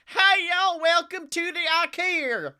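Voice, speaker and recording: A man's voice: very high-pitched, expressive, slow pace, bright, forceful tone, voice pushed up, exaggerated voice. A man voicing a cheerful, folksy Southern store greeter with an exaggerated country accent. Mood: folksy welcome.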